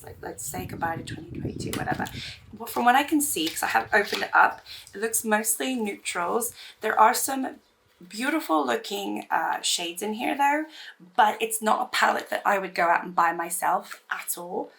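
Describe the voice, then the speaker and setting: A woman with a hoarse voice still recovering from losing it, chatting casually about makeup while doing her look, rambling with many fillers and restarts. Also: medium pitch, lively intonation, normal speed, fairly bright tone, slight background noise. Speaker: beauty vlogger. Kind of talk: casual get-ready-with-me chat.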